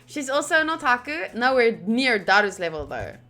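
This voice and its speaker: A woman streamer reading a visual novel's narration aloud during a playthrough, with expressive, gushing asides. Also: on the high side, moderate pace, faint music behind. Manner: expressive, gushing asides between read passages.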